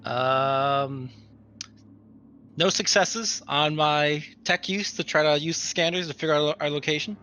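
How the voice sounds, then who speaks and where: A man's voice: on the high side, measured pace, bright, forceful tone. A man talking casually as a player in a tabletop roleplaying game. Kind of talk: casual in-character game chat.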